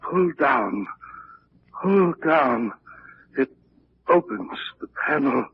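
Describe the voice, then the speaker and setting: An actor playing a weak, drugged older father who gasps out halting phrases in an old radio mystery. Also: fairly high pitch, sing-song, full character voice. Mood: weak, haunted. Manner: halting, breathless, gasping short phrases. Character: weak, drugged wealthy father, faltering and haunted. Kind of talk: 1940s radio mystery acting. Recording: dull old radio recording, faint noise.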